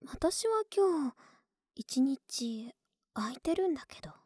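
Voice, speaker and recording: A woman playing an affectionate, sleepy young neighbor in an intimate ASMR voice drama, speaking softly and tenderly, often in whispers, very close to the microphone. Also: high voice, sing-song, no echo, exaggerated voice. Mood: coaxing, playful. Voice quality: soft, breathy, often whispered. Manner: slow, tender, coaxing, drawn-out drowsy words. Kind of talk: Japanese ASMR situation voice drama, intimate and soothing. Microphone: very close ASMR microphone, dry sound.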